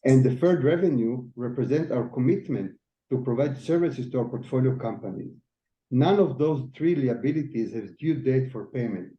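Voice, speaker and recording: Male finance chief, mid-pitched voice, formal scripted reading, roomy echo. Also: moderate pace. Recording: echoey, slightly dull audio.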